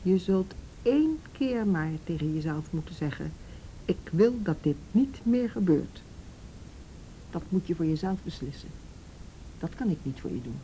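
A woman playing a calm, middle-aged counsellor in a radio drama, patient, direct and matter-of-fact. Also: lowish voice, moderate pace, slight background noise, rough recording. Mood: patient, firm. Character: calm, firm female counsellor questioning a girl. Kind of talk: naturalistic radio drama acting.

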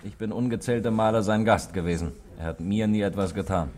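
A man's voice: lowish voice, steady tone, fairly quick, darkish tone, natural voice. A man acting an urbane, evasive bank clerk and café regular in a 1960s radio play, nonchalant and wry.

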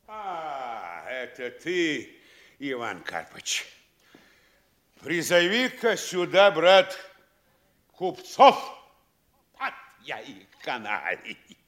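An actor playing a boastful middle-aged provincial mayor in a classic Russian stage comedy for audio, blustering and exclamatory, swinging from glee to threats. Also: male voice, on the high side, sing-song, very slow, pauses often, a bit bright, exaggerated voice. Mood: gloating, threatening.

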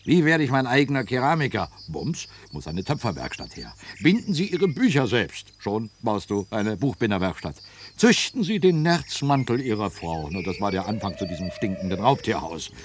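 An actor playing a sardonic, whisky-fond grumbler of a neighbor in a German radio play, mocking and ironic. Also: male voice, fairly low pitch, very animated, normal speed. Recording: noisy archival radio play recording.

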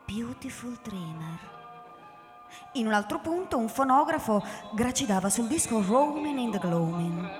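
A woman narrating a radio drama in a literary, scripted style. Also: medium pitch. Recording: reverberant hall with music behind.

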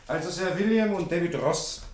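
An actor playing a sceptical, matter-of-fact police commissioner in a German radio detective play. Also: male voice, sits high, moderate pace, a little hiss or hum, close to an everyday voice. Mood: confiding, businesslike. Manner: matter-of-fact, dismissive of theories. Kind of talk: German radio drama acting.

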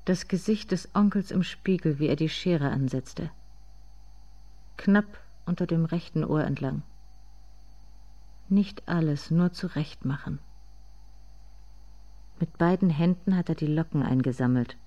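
A haunted inner narrator in a literary radio play , voiced by an actor. The voice is dark and muted, with a slightly dull sound. It tells its memories in deliberate, intense fragments, addressed to itself. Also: mid-range voice, natural voice. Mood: intense, bitter. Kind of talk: literary radio drama monologue.

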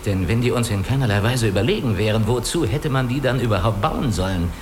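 An actor in a radio drama playing a guarded, analytical spaceship crewman who speaks deliberately and reasons step by step. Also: fairly low pitch, noisy background. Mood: wary, insistent. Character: guarded, analytical male spaceship crewman hiding his identity. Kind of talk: German radio play dialogue.

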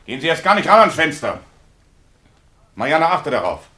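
A man's voice: on the high side, sing-song, normal speed, bright, forceful tone, slight background noise. An actor in a 1950s German radio play voicing a tense man in hiding who gives urgent, clipped orders. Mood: urgent, commanding.

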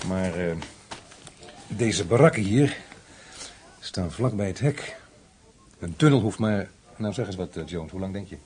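An actor playing a sceptical police inspector in a radio drama, questioning and deliberate, with a husky voice. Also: male voice, low-pitched, lively intonation, darkish tone. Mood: probing. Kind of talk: radio play acting.

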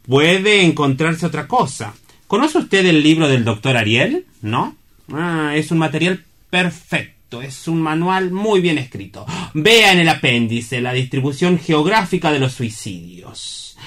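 A man's voice: fairly high pitch, lively intonation, measured pace, fairly bright tone. An actor playing a courteous, wry man in a comic stage play, speaking formally and didactically as he reasons with someone. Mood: matter-of-fact, didactic.

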